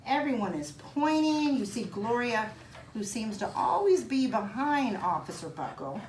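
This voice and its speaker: Woman, storybook read-aloud, unhurried delivery, expressive, child-directed, chatty asides, roomy sound with faint background noise. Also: a little high. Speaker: police chief reading a picture book aloud.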